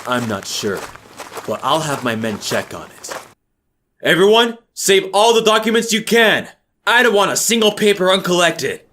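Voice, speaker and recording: A man's voice: sits high, very animated, normal speed, pushed and bright, a little hiss or hum, exaggerated voice. A man playing a proud, defiant ninja police chief in an audio drama, intense and commanding.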